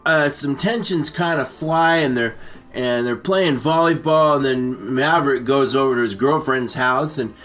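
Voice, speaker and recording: Male comic storyteller: bright, forceful tone, rambling and stammering delivery, constantly drifting into asides. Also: mid-range voice, measured pace. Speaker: story-time show host. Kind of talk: comic, improvised movie recap storytelling.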